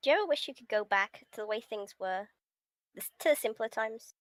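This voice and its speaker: A woman's voice: on the high side, lively intonation, brisk, dry recording. A woman streamer reading a visual novel aloud, giggly and breaking from the script with casual reactions. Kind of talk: live stream read-aloud with casual asides.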